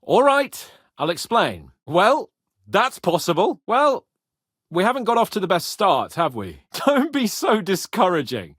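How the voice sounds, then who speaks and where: A man's voice: sits high, wildly swooping pitch, a little slow, a bit bright, slightly rough, no echo, studio quality, heavily acted. A man voicing a cocky, boastful young noble lord in a medieval video game, with a pompous, spirited manner. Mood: lordly, confident.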